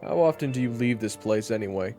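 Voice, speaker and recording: A man's voice: mid-range voice, lively intonation, a little fast, music in the background, close to an everyday voice. A man playing a wary, sardonic gunslinger who talks in a bold, casual, challenging way in an audio roleplay. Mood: conversational. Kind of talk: audio roleplay drama acting.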